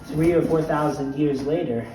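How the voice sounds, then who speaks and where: A man's voice: fairly high pitch, moderate pace, recorded from a distance, lightly acted. A man playing a rambling old vampire count who guides viewers through a craft project and keeps drifting into anecdotes. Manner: digressive, wanders into anecdotes. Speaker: craft tutorial host in character. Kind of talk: in-character crafting tutorial. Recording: very echoey room, mic from afar. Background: music playing.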